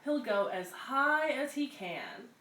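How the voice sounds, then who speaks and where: A woman's voice: high voice, lively intonation, slow pace, a bit bright. Woman reading aloud in an echoey room. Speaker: community center story-time host. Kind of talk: children's picture book read-aloud with casual introductions. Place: echoey room at a community center. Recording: echoey room, clear background noise.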